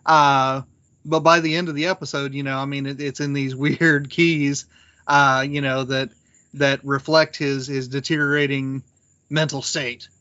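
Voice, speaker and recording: A man talking casually as a podcast panelist, reflective and halting, with a wry streak. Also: medium pitch, flat delivery, bright, forceful tone, no echo, lo-fi sound. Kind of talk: casual discussion of old radio drama.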